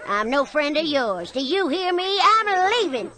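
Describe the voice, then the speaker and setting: A high, piercing female cricket played by a woman rails against the court in a rhymed audio story. She is defiant, scornful and hot-tempered, declaiming her grievances with indignation. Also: sing-song, unhurried, a crowd in the background. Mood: scornful, indignant.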